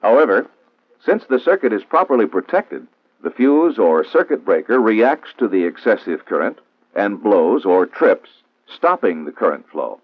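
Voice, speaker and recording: An American man reads a scripted instructional narration in a formal, measured, didactic way, in the style of a 1960s training film. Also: lowish voice, sing-song, husky, dry recording. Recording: old 1960s film soundtrack, narrow phone-like band, dry.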